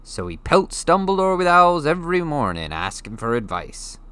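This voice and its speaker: A man reading an audiobook, voicing a gruff, hearty, friendly giant with rough, folksy, blustery speech. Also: a little high, very animated, moderate pace, pushed and bright, dry recording, pitched above the performer's own voice. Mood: grumbling, blustery. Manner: folksy, blustery, dropped grammar. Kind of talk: audiobook character voice.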